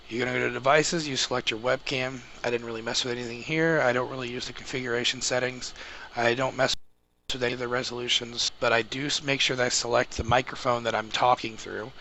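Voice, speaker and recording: A man talking viewers through a software setup step by step in an informal, explanatory way. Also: mid-range voice. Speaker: host of a tech tutorial. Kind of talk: casual step-by-step screen tutorial. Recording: clearly noisy home microphone recording.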